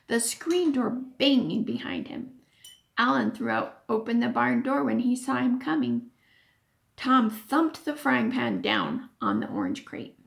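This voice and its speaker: A woman reading a children's chapter book aloud in an expressive, animated way, like a teacher. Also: on the high side, creaky.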